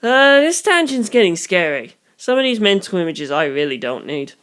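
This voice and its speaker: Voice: mid-range voice, sing-song, normal speed, fairly bright tone, smooth. A reader voicing a blunt, squeamish young man in an audiobook, casual and exclamatory in manner. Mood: uneasy, exasperated.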